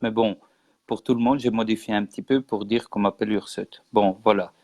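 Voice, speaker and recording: A man's voice: on the low side, fairly even intonation, a little fast, a little mellow, no room sound, studio quality. A man from Belgium, a guest in a casual interview, explaining history earnestly and insisting on points with repetition. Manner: earnest, insistent, repeats for emphasis.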